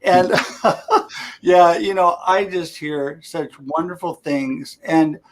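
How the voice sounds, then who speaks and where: Brief: enthusiastic male talk-show host, slightly higher voice, on a video call. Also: takes it slowly. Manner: upbeat and enthusiastic. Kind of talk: live-streamed interview.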